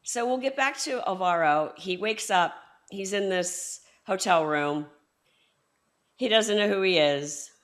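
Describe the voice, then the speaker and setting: A woman hosting a book podcast, recounting a novel's plot in a measured way with fillers. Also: medium pitch, expressive. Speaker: podcast host. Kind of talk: interview, summarizing the plot.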